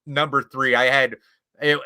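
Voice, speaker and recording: Chatty Midwestern man with a bright voice. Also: medium pitch, flat delivery, a little slow, clear, resonant tone. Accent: Midwestern American. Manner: rambling, chatty storytelling with frequent restarts and chuckles. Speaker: guest YouTube creator. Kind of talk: casual chat on a live stream.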